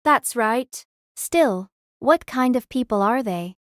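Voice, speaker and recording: A woman's voice: on the high side, lively intonation, slow pace, no room sound, clean studio sound. A woman playing a curious, questioning young anime character in scripted audio drama dialogue.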